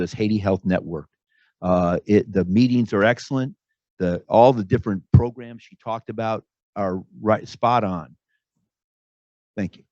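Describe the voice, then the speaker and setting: A man speaking off the cuff as a participant at a symposium, in a halting manner. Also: fairly low pitch, a little mellow, no room sound. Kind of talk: spontaneous endorsement at a symposium. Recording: dull lo-fi audio.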